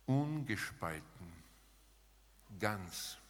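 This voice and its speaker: A man preaching a sermon in an emphatic, rhetorical manner, setting out short phrases with pauses between them. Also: on the low side, big pitch swings, a bit bright. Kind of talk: sermon with dramatized paraphrase, closing in prayer. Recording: long hall reverb.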